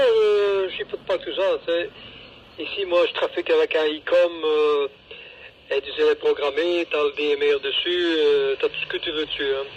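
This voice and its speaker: A man with a Belgian French accent chatting casually and colloquially over a two-way radio. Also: on the high side. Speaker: amateur radio hobbyist. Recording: dull narrowband radio link with noise.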